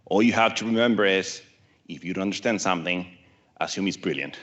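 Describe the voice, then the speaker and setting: Argentine-accented man joking through a pitch. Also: a little fast, a bit bright. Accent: Argentine Spanish. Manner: self-deprecating, joking with the audience. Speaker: startup founder pitching. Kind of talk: live pitch on stage.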